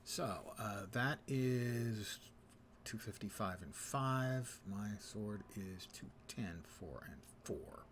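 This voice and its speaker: A man's voice: on the low side, animated, slow pace. A man streaming a game, giving casual commentary and muttering his thoughts aloud to himself. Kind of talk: casual live gameplay commentary. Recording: clear background game noise.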